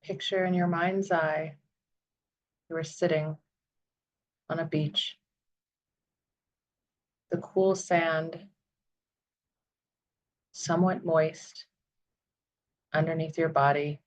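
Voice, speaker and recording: A woman leading a guided visualization meditation, speaking in soothing, deliberate phrases with long pauses. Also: deep voice, fairly even intonation, slight background noise, low-quality recording.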